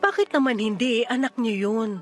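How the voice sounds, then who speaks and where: An actress voices a patient, worried young woman in a Filipino radio drama. Her Filipino-accented voice is on the high side, earnest and reassuring as a loyal friend. Light music plays underneath. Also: normal speed, natural voice. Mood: worried, probing. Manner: earnest, reassuring, gently insistent. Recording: music behind the voice.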